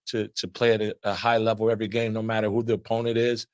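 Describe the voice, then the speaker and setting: A man's voice: fairly low pitch, fast, dry recording, clean studio sound. Earnest man coach with frequent restarts. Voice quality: loud voice. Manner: repeats words often while building answers, steady and earnest. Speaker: assistant basketball coach. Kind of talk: press conference answers.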